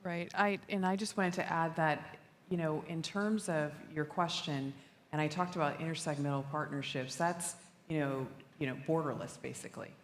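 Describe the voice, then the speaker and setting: Deep-voiced woman on a panel, chatty, echoey hall. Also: fairly even intonation, moderate pace. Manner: conversational, lots of "you know" fillers. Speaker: conference panelist. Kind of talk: panel discussion answer. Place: conference room. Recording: roomy hall with faint noise.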